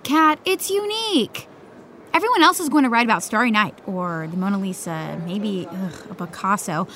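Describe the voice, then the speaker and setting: A woman's voice: mid-range voice, animated, normal speed, sharp tone, a little hiss or hum. A woman playing a teasing, pushy but supportive teenage best friend in naturalistic podcast drama, speaking emphatically. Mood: encouraging. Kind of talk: naturalistic fiction podcast acting.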